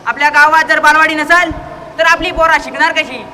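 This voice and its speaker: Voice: high voice, steady tone, fairly quick, bright, forceful tone, slight background noise. A performer playing an earnest village speechmaker, reciting a rehearsed oration in a live school stage comedy. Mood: declamatory. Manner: oratorical, recited, addressing the villagers. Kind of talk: declamatory school stage comedy acting in Marathi.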